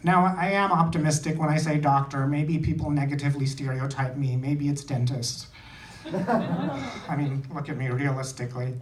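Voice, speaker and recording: A man's voice: a little high, normal speed. A man from India performing a stand-up comedy routine, delivering short phrases with a dry, self-deprecating manner. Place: small comedy theater, on stage before an audience. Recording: echoey club, distant mic, loud noise.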